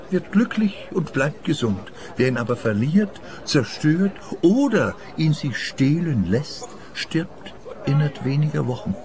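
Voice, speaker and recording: A man's voice: a little high, darkish tone. An actor playing a genteel, frail man in his seventies, chatty and superstitious, in radio play dialogue. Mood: solemn, earnest. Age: 70s. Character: contented, frail seventy-year-old pensioner, superstitious and plaintive.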